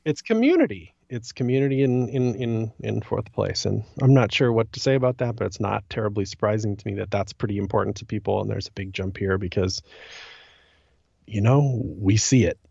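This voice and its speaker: Animated man in a roomy, dull recording. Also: lowish voice, brisk, gravelly, husky. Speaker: podcast co-host. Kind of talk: casual podcast discussion. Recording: slightly dull lo-fi, roomy echo.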